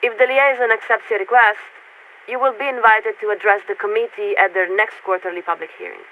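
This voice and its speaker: A poised warship radio officer from a video game, polite and bureaucratic to the core. The delivery is measured and formal, coming through a thin, band-limited transmission with a light layer of noise. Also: female voice, medium pitch, steady tone, phone-quality sound. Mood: official, firm. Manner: formal, measured, courteous, speaks as "we". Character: poised female military signaler aboard a coalition warship, politely bureaucratic. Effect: radio transmission filter. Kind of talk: scripted video game character dialogue.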